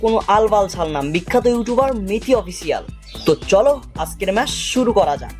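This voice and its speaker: A man's voice: sits high, lively intonation, fast talker, darkish tone. A man from Bengal, speaking casually as a prank-call host in a politely deferential way. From North Bengal, India. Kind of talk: casual vlog talk and prank phone call. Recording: music behind the voice. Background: background music.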